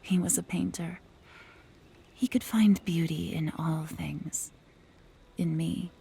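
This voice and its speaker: A pensive, sorrowful fox spirit from a fantasy game. Her voice is low and dusky, with a creaky edge. She speaks softly and breathily, measuring each wistful phrase. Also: fairly even intonation, slow, a little hiss or hum. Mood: wistful, tender. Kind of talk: cinematic game acting.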